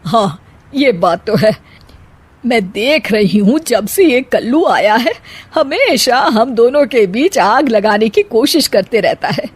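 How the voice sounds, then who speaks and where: Cartoon mother-in-law, played by a woman: middle-aged, kindly and shrewd, fairly high voice, homely chiding manner. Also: animated, slow, husky, no room sound, full character voice. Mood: annoyed. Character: kind, easygoing, shrewd older Indian mother-in-law. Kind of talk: Hindi animated comedy story acting.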